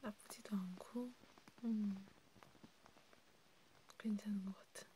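A woman whispering in a breathy, hushed, intimate way, chatting casually as in an ASMR roleplay. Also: mid-range voice, slow pace. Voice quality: breathy, mostly unvoiced whisper. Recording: steady background noise.

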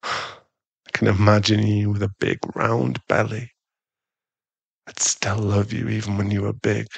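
A man as a slow-talking, possessive werewolf husband. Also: fairly low pitch, level tone, gravelly, husky, no echo, heavily acted. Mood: tender, doting. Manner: slow, intimate, teasing, with sighs and stammers. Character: jealous, possessive, doting werewolf husband, playfully bratty. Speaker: ASMR boyfriend roleplay performer. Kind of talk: intimate ASMR audio roleplay.

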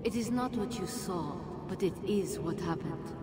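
An actress playing a stern, regal female spirit guide in a video game, speaking in a measured, grave, authoritative manner. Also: mid-range voice, expressive, very noisy, close to an everyday voice. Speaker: voice actor in a game cutscene. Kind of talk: dramatic video game acting. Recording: loud music behind.

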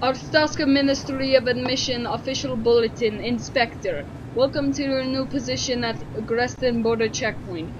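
A woman's voice: on the high side, moderate pace, hardly any pauses, lo-fi sound, background noise. A woman co-hosting a casual gameplay video, playfully bossy and reading the on-screen game text aloud. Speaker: gaming video co-host. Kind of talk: casual let's-play chat. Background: game music.

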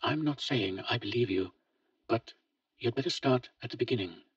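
Man doing a weary old father, husky, quiet and resolute, lifted pitch, dull far-off recording. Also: moderate pace, no echo, natural voice. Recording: dull lo-fi, distant mic.

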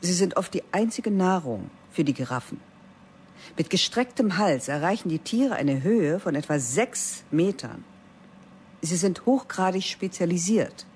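Stern veteran schoolteacher, fifties, clipped and cold, radio play narration over faint hiss. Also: female voice, fairly low pitch, expressive, unhurried, darkish tone. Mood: lecturing. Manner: cool, clipped, detached. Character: stern aging female biology teacher. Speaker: narrator and lead character.

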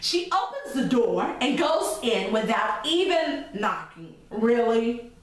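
A woman's voice: fairly high pitch, big pitch swings, takes it slowly. A middle-aged woman hosts a children's story reading, speaking expressively and directly to young listeners. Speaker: storyteller and children's show host. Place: indoor room with chalkboard backdrop. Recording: echoey room from a distance, faint noise.

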